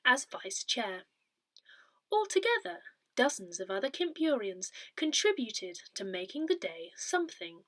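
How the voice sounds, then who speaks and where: A woman reads a written newsletter piece aloud. Her pitch is mid-range for a woman and moves with a lot of variety. She delivers the text in measured phrases, as a narrator of a scripted article. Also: bright, forceful tone. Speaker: newsletter reader.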